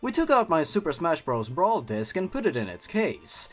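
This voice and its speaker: A man's voice: on the high side, huge pitch swings, moderate pace. A man reading a horror story aloud theatrically, breaking off for sarcastic, mocking asides.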